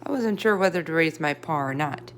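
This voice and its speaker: A woman's voice: sits low, animated, a little fast, a little hiss or hum. A woman reading a children's storybook aloud as narrator. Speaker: read-aloud reader. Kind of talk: children's book read-aloud, character voices in dialogue.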